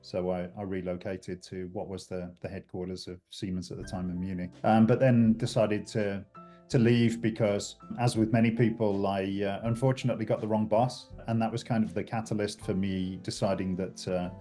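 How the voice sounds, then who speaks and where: A middle-aged British man talks through a video call with a very low, mellow voice. He speaks slowly and carefully, pausing and restarting often. Faint music plays underneath. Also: flat delivery. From United Kingdom. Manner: reflective, many restarts and fillers. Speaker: guest. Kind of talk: conversational interview.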